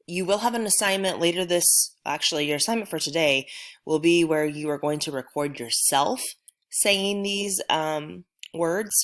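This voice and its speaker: A woman teaching a recorded language lesson to her class, talking patiently and reassuringly with frequent ums. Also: low-pitched. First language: English.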